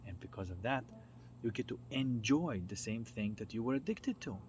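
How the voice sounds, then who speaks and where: A man giving an informal, unscripted talk as a spiritual self-development speaker, halting as he searches for words and often checking in with the listener. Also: medium pitch, lively intonation, darkish tone. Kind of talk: informal unscripted explanatory monologue. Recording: noticeable background noise.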